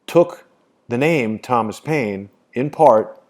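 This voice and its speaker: A man's voice: mid-range voice, expressive, slow pace, darkish tone. A man hosting a call, talking insistently and repeating his points. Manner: insistent, repetitive. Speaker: host. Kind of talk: casual phone conversation.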